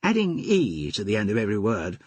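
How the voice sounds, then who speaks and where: A man's voice: on the low side, wildly swooping pitch, a little fast, a little mellow, husky, no room sound, heavily acted. A man doing a boyish young toff. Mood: hearty. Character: bold young upper-class Englishman, boyish and hearty.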